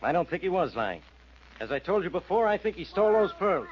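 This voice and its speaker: An actor playing a gruff, exasperated police inspector in 1940s radio style, blunt and commanding. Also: male voice, high voice, big pitch swings, brisk, a little hiss or hum. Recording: dull old radio recording.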